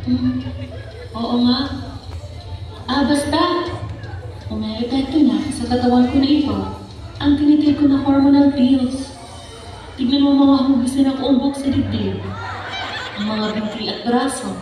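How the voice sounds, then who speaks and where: Woman acting a defiant, high female self, echoing. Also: fairly even intonation, measured pace, long pauses, dark tone, some chatter behind. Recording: echoey hall, distant mic, music behind.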